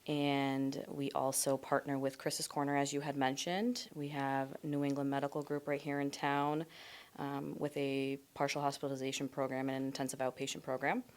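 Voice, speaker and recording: A woman whose first language is Portuguese, speaking as a clinician guest in a casual podcast interview, relaxed and friendly with frequent fillers. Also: extremely low pitch, normal speed.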